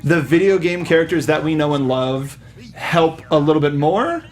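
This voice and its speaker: A young man in his twenties with a fairly high voice hosts a casual movie discussion. He sounds keen and talks like a fan guiding the conversation. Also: lively intonation, moderate pace. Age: 20s. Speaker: movie podcast host. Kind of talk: casual film review chat.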